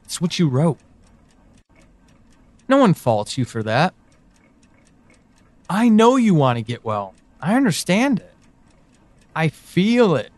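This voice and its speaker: A man playing an anxious, pleading character in an audio drama monologue, speaking earnestly and haltingly. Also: on the high side, very animated, no echo. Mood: hurt, hesitant. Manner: halting, earnest, with pauses and emphatic swings. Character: anxious, pleading man reaching out to a lost loved one.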